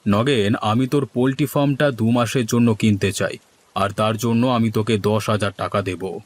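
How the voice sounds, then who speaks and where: A man's voice: on the low side, fairly even intonation, normal speed, close to an everyday voice. A man voicing village men in a cartoon, from schemers to worriers, with theatrical, exaggerated dialogue delivery. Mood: commanding.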